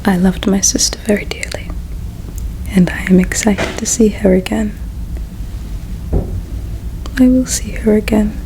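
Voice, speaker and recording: A woman playing a grieving, devout alien in a whispered ASMR roleplay, speaking softly and solemnly in a breathy whisper with pauses. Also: medium pitch, natural voice, rough recording. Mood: mournful, bitter. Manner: slow, soft, solemn, with pauses. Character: grieving, devout alien priestess's daughter preparing someone for war.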